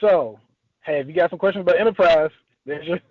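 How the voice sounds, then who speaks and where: A man's voice: on the high side, brisk, no echo. A chatty man hosting a conversational interview on a conference call, heard through a telephone. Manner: chatty, punctuates with "man" and "now". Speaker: host interviewing a guest. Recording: tinny phone line, narrow band.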